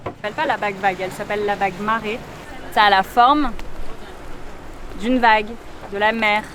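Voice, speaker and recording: A woman's voice: medium pitch, steady tone, brisk, poor audio. A woman from Poland who grew up in Italy, hosting a casual travel vlog in an informal, slangy, exclamatory way. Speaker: vlog host. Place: outdoors in busy city streets and markets. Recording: loud outdoor market crowd and noise.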